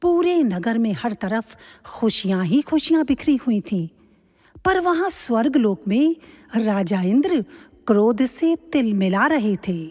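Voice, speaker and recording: A woman narrates a mythological audio drama in a slightly high, very animated voice. Her storytelling is deliberate and solemn, set in a long, echoing reverb. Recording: long reverberant hall echo.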